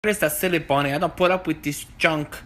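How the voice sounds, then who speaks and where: A man's voice: on the high side, steady tone, fast, fairly bright tone, voice pushed up, lightly acted. A man voicing a bad-tempered, impatient and sassy storybook princess. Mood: threatening, fed up.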